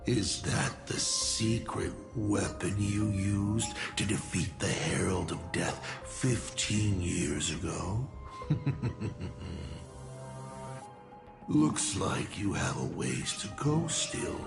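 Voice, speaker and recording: Slow, creaky, theatrical male villain. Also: deep voice, lively intonation, music in the background, full character voice. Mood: amused, mocking. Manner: slow, mocking, grandiose, savoring his words. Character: theatrical male villain, mocking artist of destruction, fake father. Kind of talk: video game voice acting.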